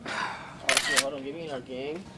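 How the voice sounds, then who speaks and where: A man's voice: medium pitch, steady tone, fairly quick, a crowd in the background, rough recording. A young man bantering casually in a store, teasing people in loose slang. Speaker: prankster's companion. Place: inside a store.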